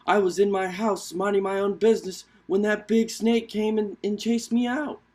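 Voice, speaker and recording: A man's voice: sits high, flat delivery, normal speed, a little mellow, pitched above the performer's own voice. A man voicing a timid little female rabbit in a storybook, pleading and defensive.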